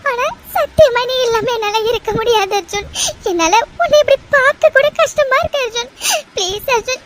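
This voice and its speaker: A woman playing a distraught, devoted young woman in an audio drama, crying and pleading over her injured beloved. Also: very high-pitched. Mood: tearful pleading. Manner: tearful, pleading, repetitive begging. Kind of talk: melodramatic Tamil audio drama acting. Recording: noisy recording with clicks.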